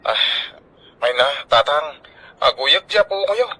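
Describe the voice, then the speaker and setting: An actor with an Ilocano accent playing a devoted, affectionate grown son, emotional and solicitous in a radio drama. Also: male voice, on the high side, expressive, slow, pushed and bright, slight background noise, exaggerated voice, low-quality recording. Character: devoted, affectionate grown son fussing over aging parents.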